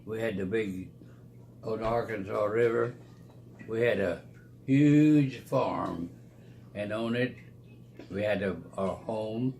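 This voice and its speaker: Man in his nineties, creaky voice, unhurried halting delivery, folksy, playful family reminiscing, faint noise behind. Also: lowish voice, darkish tone. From Oklahoma, United States. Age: 90s. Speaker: retired preacher, grandfather of the vlogger.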